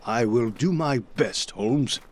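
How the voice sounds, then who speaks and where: A man playing a genial, slightly flustered gentleman, a detective's loyal companion, speaking politely and earnestly in an audio drama. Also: medium pitch, slow. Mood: amiable, wry.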